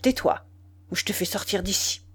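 A woman's voice: medium pitch, moderate pace, breathy edge. A woman reading an audiobook plays a cynical, domineering man, speaking in a curt, haughty, commanding manner. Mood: stern warning. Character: cynical, cold, domineering man leaving his mistress. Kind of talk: dramatised audiobook dialogue.

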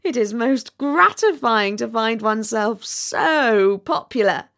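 A woman's voice: a little high, animated, a bit bright, exaggerated voice. A woman voicing a chatty, self-satisfied little male frog in a storybook, gushing and complacent. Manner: gushing, complacent, talks without pause. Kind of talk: storybook character voice.

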